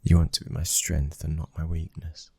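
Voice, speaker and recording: Guy acting as brooding british mafia boyfriend, super low breathy voice, intense and hushed, asmr rp, dry close sound. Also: little pitch movement, moderate pace, dry recording, full character voice. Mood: anxious, confessional. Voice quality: husky, breathy, often near whisper. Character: brooding young mafia boss's son, protective, obsessive lover. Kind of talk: intimate ASMR boyfriend roleplay.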